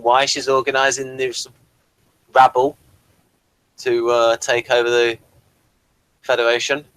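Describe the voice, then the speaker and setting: A man's voice: mid-range voice, a bit bright, dry recording, rough recording. A man co-hosting a casual episode review, rambling and repeating himself as he talks. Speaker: co-host of a TV review show.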